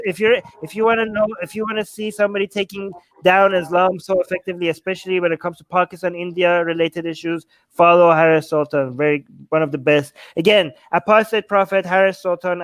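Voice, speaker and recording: A man hosting a casual livestream call-in, excited and quick to praise his guests. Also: high voice, clear, resonant tone, no echo, low-quality recording. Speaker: livestream host.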